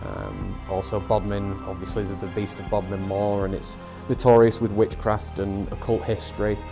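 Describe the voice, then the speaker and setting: A man's voice: lowish voice, little pitch movement, moderate pace, vocal fry, music in the background. A man co-hosting a podcast in casual chat, sounding nasal from a head cold, rambling with many ums. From England. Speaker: podcast co-host.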